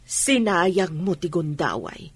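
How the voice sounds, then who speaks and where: A woman's voice: on the low side, sing-song, slow pace, slightly rough, exaggerated voice. An actress playing a practical older village mother who scolds and advises in an Ilocano radio drama. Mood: reproachful.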